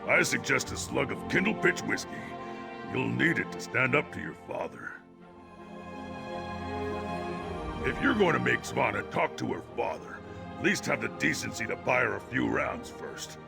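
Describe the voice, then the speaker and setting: A man playing a boozy, irreverent Nord in a video game, talking in a wry, jovial, garrulous way with a gravelly, husky voice. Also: mid-range voice, expressive, normal speed. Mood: wry, teasing. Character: boozy, irreverent Nord man who solves everything with ale. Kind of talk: video game character dialogue. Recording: music behind the voice.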